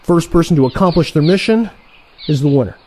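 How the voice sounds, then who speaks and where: A man playing an excitable, cocky younger assassin in an audio play, talking in an animated, wheedling, sarcastic way. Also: a little high, a little fast, slight background noise, lightly acted. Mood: challenging. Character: excitable, cocky younger assassin, male trainee turned rival.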